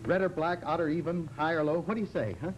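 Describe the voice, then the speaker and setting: A man's voice: a little high, fairly even intonation, fast talker, close to an everyday voice, rough recording. A man acting in an old-time radio drama, playing a tender, brooding husband who is a desperate gambler. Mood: indulgent. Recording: vintage radio recording with faint music.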